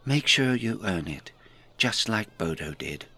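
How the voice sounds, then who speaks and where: A man voicing a gentle, teasing female hobbit who speaks fondly to her husband in a storybook reading. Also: lowish voice, expressive, unhurried, pitched above the performer's own voice, full character voice. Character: affectionate female hobbit wife, playful.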